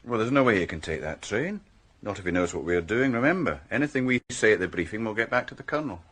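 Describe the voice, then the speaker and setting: Low, husky, shrewd senior detective. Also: male voice, expressive, a bit bright. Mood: shrewd, assured. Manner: measured, assured, explanatory. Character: shrewd, confident male senior detective planning a trap. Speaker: actor in a radio crime drama. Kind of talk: naturalistic radio drama dialogue.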